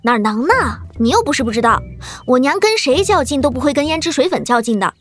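High-pitched cheeky young girl. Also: sing-song, fast talker, soft background music. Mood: chatty, pouty. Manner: pouty, gossipy, exclaiming. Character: cheeky, chatty, spirited young girl in wuxia tale. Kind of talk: Chinese audio drama acting.

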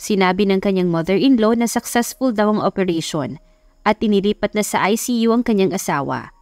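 A woman's voice: mid-range voice, expressive, brisk, darkish tone, no echo. Dry-sounding woman narrator. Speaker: audiobook narrator. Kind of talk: scripted romance story narration.

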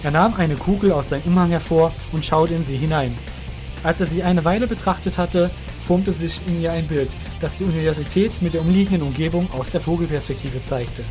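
A man's voice: a little high, a little fast, music in the background. A man narrating a fantasy audio drama from a script, in a storytelling style.

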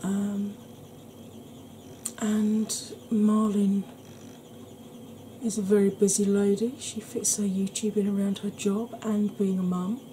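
Brief: middle-aged woman, Welsh-Yorkshire accent, unhurried soft chat, home recording with steady background hiss. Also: mid-range voice, low-quality recording. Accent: Welsh and Yorkshire mix. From England, raised by Welsh family. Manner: deliberately slow, gentle, chatty. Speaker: beauty YouTuber. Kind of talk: slow soft-spoken makeup tutorial chat.

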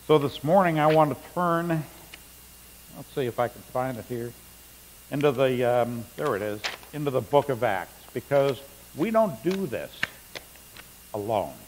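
A man's voice: mid-range voice, expressive, measured pace. A middle-aged male pastor preaching a sermon in a church sanctuary, reading scripture aloud with rising emphasis. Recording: long church reverb, faint hum.

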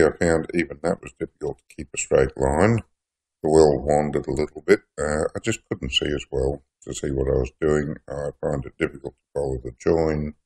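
Older Aussie hobbyist with a very deep, gravelly voice, casual and self-deprecating product review. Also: male voice, a little mellow. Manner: chatty, plainspoken, self-deprecating. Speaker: hobby welder reviewing gear. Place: workshop shed.

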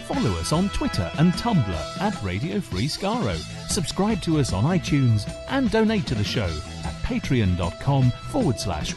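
Very animated male announcer over guitar music. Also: mid-range voice. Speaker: podcast announcer. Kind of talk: scripted outro announcement.

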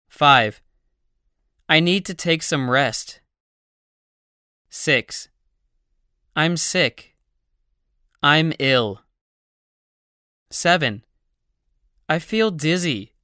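Very slow, bright, crisp phrase reader. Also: mid-range voice, no echo, clean studio sound. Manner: slow, evenly spaced delivery of numbered phrases. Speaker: narrator of a language-learning audiobook. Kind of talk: slow scripted phrase reading for learners.

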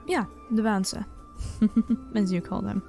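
A woman's voice: medium pitch, steady tone, slow, gravelly, warm tone, soft background music. A woman voicing an elven tavern keeper in an ASMR roleplay, familiar and teasing with the listener, protective and tough. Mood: affectionate, chatty. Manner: familiar, teasing, uses pet names.

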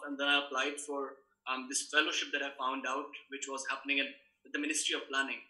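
A man's voice: a little high, bright, forceful tone. A young man from Balochistan, Pakistan, with a Pakistani accent and Balochi as his first language, speaking earnestly and thoughtfully as an interview guest, with frequent ums. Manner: earnest, thoughtful, many fillers. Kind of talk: conversational interview. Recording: roomy echo.

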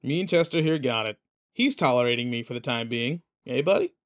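A man acting in an audio roleplay as a protective, teasing, rough-edged but kind pretend boyfriend, talking intimately and casually. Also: mid-range voice, animated, fairly quick, noisy background, natural voice. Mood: playful, relaxed.

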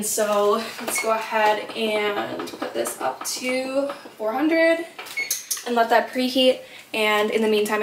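A young woman in her twenties chatting casually through a cooking vlog at home, giving upbeat step-by-step tips. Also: medium pitch. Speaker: flight attendant vlogger. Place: home kitchen, indoors. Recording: guitar music playing underneath. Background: guitar music.

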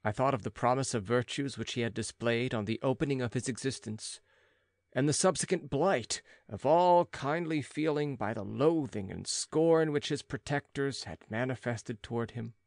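A male audiobook narrator reads classic gothic fiction. His voice sits at a middle pitch, and his delivery is measured and dramatic. The recording is dry, with very little room sound. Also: darkish tone, dry recording.

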